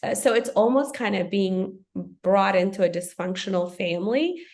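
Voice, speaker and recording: A woman's voice: mid-range voice, animated, normal speed, darkish tone. A woman from Ethiopia, a podcast guest, speaking in a thoughtful, earnest way with frequent fillers. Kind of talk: podcast conversation.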